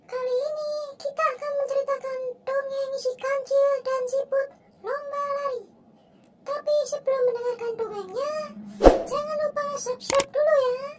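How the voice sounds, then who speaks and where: A woman's voice: very high-pitched, steady tone, moderate pace. A woman giving a scripted channel intro for a children's story channel in a sweet, cheerful announcing manner.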